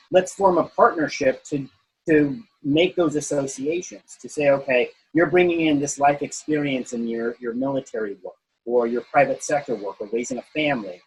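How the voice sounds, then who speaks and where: A man's voice: a little high, slight background noise, rough recording. A man, a college professor, answering interview questions over a video call in a thoughtful way, restarting phrases as he thinks.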